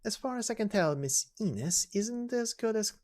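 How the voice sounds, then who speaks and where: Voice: mid-range voice, lively intonation, normal speed, darkish tone, slight background noise, voice pushed up, lightly acted. A narrator voicing a cocky young woman mercenary with a taunting, flippant manner in an amateur game story dub.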